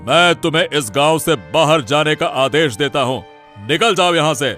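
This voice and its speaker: A man's voice: fairly high pitch, lively intonation, moderate pace, pushed and bright, faint music behind. A man playing a commanding village headman in a cartoon moral story, curt and authoritative. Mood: stern, angry.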